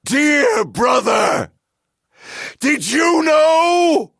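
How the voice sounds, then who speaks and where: An actor playing a tormented, vengeful immortal man turned monster in a video game, with grandiose, theatrical, old-fashioned delivery and a gravelly rasp. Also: extremely high pitch, big pitch swings, a bit bright, dry recording, shouting, studio quality, full character voice. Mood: betrayed fury. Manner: grandiose, archaic wording, slow dramatic delivery.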